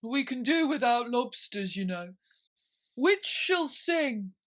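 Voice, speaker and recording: A woman acts out a sorrowful male turtle creature from a storybook, pitched high. He is solemn and wistful, yet readily swells into excitable exclamation. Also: takes it slowly. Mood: eager.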